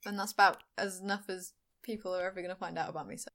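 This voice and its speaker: A woman's voice: medium pitch, steady tone, rapid-fire, a bit bright, slight background noise. A woman from Wales, a podcast guest, chatting in a dry, self-deprecating way. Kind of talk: casual chat.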